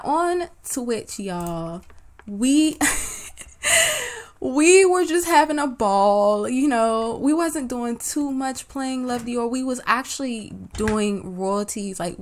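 A woman's voice: on the high side, slow. A woman hosting a life-sim let's-play, chatting casually and talking straight to her viewers in slangy banter, her voice a little worn as if she is losing it. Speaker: gaming channel host.

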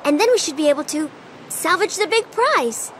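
A young woman playing a perky, bossy teenage girl salvager in a video game, bubbly but brisk. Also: very high-pitched, expressive, slight background noise. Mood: brisk, upbeat. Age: young adult.

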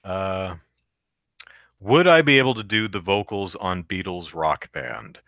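Deep-voiced man chatting casually. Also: animated, measured pace, fairly bright tone, dry recording. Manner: rambling, lots of fillers and restarts. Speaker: podcast host reading chat. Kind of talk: casual live-stream chat.

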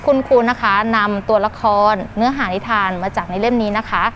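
A woman's voice: medium pitch, fairly even intonation, a little fast, a bit bright, slight background noise. A woman teacher telling a puppet story to young children in simple, repeated phrases. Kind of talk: children's storytelling with questions and sound effects.

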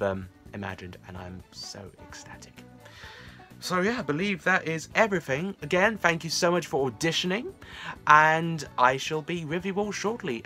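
A man in his twenties giving a casual, effusive update to camera in an informal, animated way, recorded in a small room at home. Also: medium pitch. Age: 20s. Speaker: creator of a fan audio series, vlog host.

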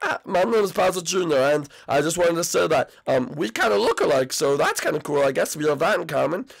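A woman's voice: sits low, animated, brisk, gravelly, husky, no echo, full character voice. A woman voicing a nervous, lonely young boy prince who stammers and hesitates. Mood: awkward.

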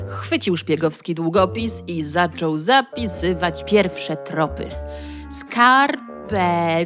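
A woman narrating a children's audio story in an expressive, animated way. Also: on the low side, slow, music in the background.

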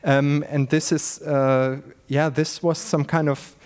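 A man's voice: on the high side, flat delivery, slow pace, a little mellow, clear, resonant tone. A middle-aged man speaking on a panel discussion, into a handheld mic on a stage, hesitant and full of fillers and restarts. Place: large stage in a hall.

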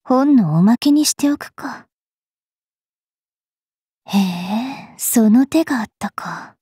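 A young fairy witch knight from an anime game, haughty and bookish. The voice is high and a little husky, delivered slowly, slipping from prim manners into rough, sharp-tongued taunts. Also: animated, dry recording, clean studio sound, heavily acted. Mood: cool, teasing. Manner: switches between prim politeness and rough, taunting speech. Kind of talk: anime mobile game character voice lines.

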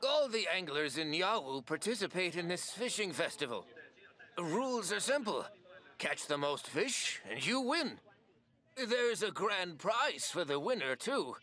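High, bright old fishing shopkeeper. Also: male voice, sing-song, a little slow, full character voice. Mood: chipper, inviting. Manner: folksy, eager, salesman-like. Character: chipper, folksy old male fishing shopkeeper. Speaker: video game shopkeeper. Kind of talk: video game voice acting.

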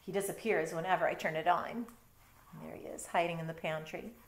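A woman's voice: lowish voice, fairly even intonation, fairly quick, slight background noise. A middle-aged woman reading a picture book aloud and adding chatty, explanatory asides as she goes. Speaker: storytime reader, teacher. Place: bedroom at home. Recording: roomy indoor echo.

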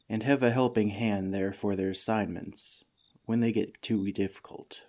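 A man playing a kindly, patient head teacher in a gentle, soothing ASMR comfort roleplay. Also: mid-range voice. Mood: gentle, reassuring.

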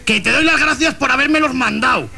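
A man's voice: very high-pitched, fairly quick, gravelly, bright, forceful tone, heavily acted. A man playing a muddled, insistent older rural Spanish man in a prank phone call. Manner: obtuse, repetitive, insistent. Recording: noisy telephone call.

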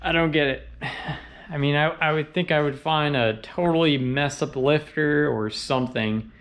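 A man's voice: a little high, a little slow. Man muttering to himself in a garage. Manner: relaxed, thinking aloud with fillers and trailing doubts. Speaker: car channel host. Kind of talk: casual garage commentary while working. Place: home garage, indoors. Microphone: action camera on body and tripod.

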